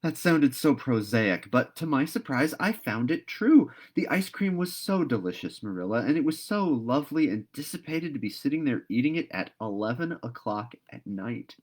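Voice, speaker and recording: A man's voice: medium pitch, big pitch swings, normal speed, few pauses. A man voicing a talkative, dreamy young girl in a storybook read-aloud, earnest and gushing. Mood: rapturous.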